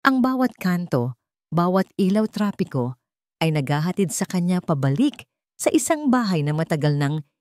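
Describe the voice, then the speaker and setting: Low-voiced woman narrating a drama. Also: dry recording. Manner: slow, dramatic, even reading. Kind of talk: scripted storytelling narration.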